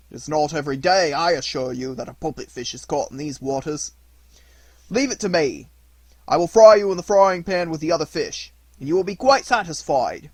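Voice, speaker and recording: Man as storybook monster fisherman, menacing, loud, mock-courteous, gloating, emphatic. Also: on the high side, no echo, voice pushed up.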